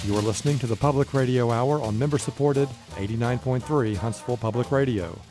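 A man's voice: medium pitch, brisk. A man hosting a radio show, reading a scripted introduction. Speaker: radio host. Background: music bed.

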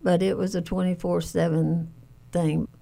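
An older woman shares recollections in conversation as an interviewee. Her voice is low and mellow, with a marked gravelly creak. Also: level tone, a little slow. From Alabama, United States. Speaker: interviewee, family caregiver.

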